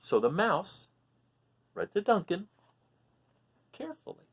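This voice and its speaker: A man hosting a children's read-aloud, expressive as he reads and chats, explaining things simply to young listeners. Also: high-pitched, slow pace, breathy edge. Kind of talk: animated storybook reading and casual chat to children.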